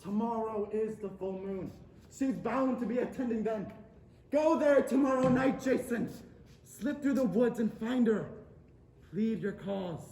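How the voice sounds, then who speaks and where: A man's voice: high voice, a little slow, warm tone. A young man playing a commanding, urgent adviser to a hero in a stage play. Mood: urging. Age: young adult. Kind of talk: high school stage drama acting. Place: theatre stage. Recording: echoey stage, faint room noise.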